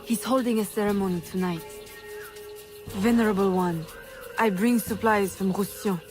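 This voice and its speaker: A woman voices a composed young assassin for a video game. Her voice sits at an average pitch, businesslike and determined, with faint music underneath. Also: fairly even intonation, normal speed, lightly acted. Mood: resolute. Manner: cool, businesslike, resolute. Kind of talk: dramatic video game acting.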